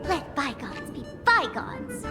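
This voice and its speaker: A mischievous young trickster girl in a video game, teasing and theatrical. Her high voice leaps all over the place with glee. Game music plays behind her. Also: big pitch swings, slow pace, exaggerated voice. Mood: gleeful. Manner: teasing, playful, sing-song.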